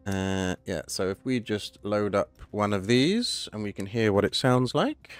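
A man with a hoarse voice, casually talking through a game update and thinking aloud as he plays. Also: lowish voice, lively intonation, unhurried, no echo, faint music behind. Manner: chatty, thinking aloud, self-correcting. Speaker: gaming channel host. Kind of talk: casual gameplay commentary.

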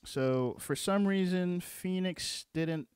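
A man presenting a casual software walkthrough, informal and often checking in with the listener. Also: high-pitched, takes it slowly, clear, resonant tone, dry recording, studio quality. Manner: informal, repeatedly checking in with "okay" and "all right". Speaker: tutorial presenter.

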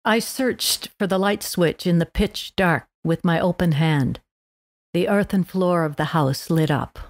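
A woman with a fairly low voice reads prepared text aloud as a podcast host for language learners. The sound is dry, with very little room in it. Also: a little slow, no room sound. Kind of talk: scripted read-aloud narration for language learners.

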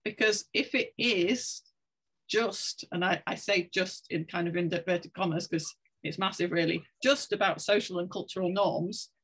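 A woman's voice: on the low side, a little slow, a bit bright, husky. A woman speaking as a panelist on an online discussion, reflective and hesitant with many fillers. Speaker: panelist, researcher. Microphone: video call laptop mic.

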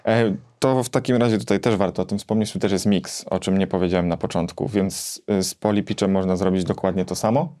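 Clear-voiced man, fairly low. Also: steady tone, a little fast, hardly any pauses, dark tone. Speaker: co-host of a guitar gear review show. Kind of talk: casual explanatory gear demonstration. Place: small indoor home studio.

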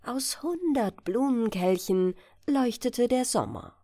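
A woman narrating a fairy tale as an expressive bedtime audiobook reading. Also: on the high side, takes it slowly, no room sound, studio quality.

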